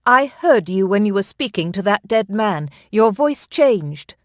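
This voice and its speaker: A narrator voicing a wary young noblewoman archer who talks in a sharp, demanding, slow and forceful way. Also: medium pitch, sing-song, no echo, studio quality. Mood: accusing. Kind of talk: audiobook character reading.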